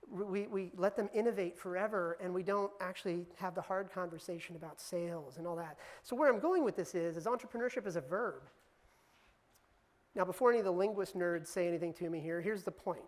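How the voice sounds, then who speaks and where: A woman's voice: mid-range voice, a little mellow. A woman whose first language is Punjabi, giving a loose, joking conference talk to a live audience. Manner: chatty, teasing the audience, loose with fillers. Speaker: keynote speaker.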